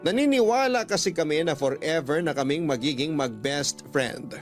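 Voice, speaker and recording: A man with a fairly high voice narrates a personal story in radio style, reading it as a first-person letter. Soft guitar music plays behind him. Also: expressive, normal speed.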